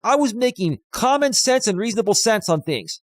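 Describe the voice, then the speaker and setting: Male life coach, 50, loud and emphatic, slightly high-pitched, dry close studio mic. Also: sing-song, brisk, no room sound. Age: 50s. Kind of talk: live stream commentary reading chat. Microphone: studio dynamic mic.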